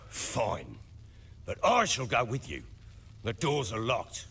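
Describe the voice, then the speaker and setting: An actor playing a burly, middle-aged, hard-drinking baron in a fantasy video game, with a rough voice and a blustering, defensive manner. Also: male voice, medium pitch, huge pitch swings, slow pace, fairly bright tone, exaggerated voice. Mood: gruff, suspicious. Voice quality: rough, husky.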